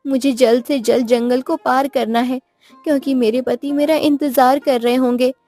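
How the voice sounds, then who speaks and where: A man's voice: extremely high pitch, little pitch movement, moderate pace, warm tone, soft background music, voice pushed up. A man voicing a worried but plucky young village wife in a cartoon story. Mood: anxious. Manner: anxious, pleading, determined.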